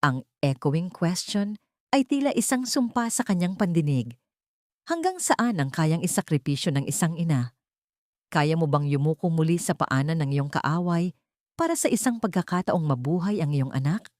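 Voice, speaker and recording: A woman narrator delivers scripted drama in a somewhat low register. The recording is very dry, with the voice free of room sound. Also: lively intonation, moderate pace, darkish tone, dry recording. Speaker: audio story narrator.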